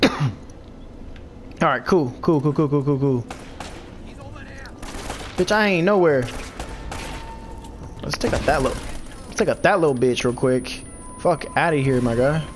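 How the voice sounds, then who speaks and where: A man's voice: medium pitch, measured pace, pauses often, faint music behind. A young man with a stuffy, congested voice gives loose, profane commentary while playing a video game. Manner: loose, profane trash talk at enemies. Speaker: let's play host. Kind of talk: casual gameplay commentary.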